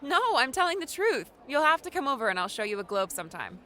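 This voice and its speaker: A woman's voice: sits high, very animated, fast talker, pushed and bright, full character voice. A woman voicing a playful, friendly young girl in an audiobook, chatty and explanatory. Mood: amused, playful.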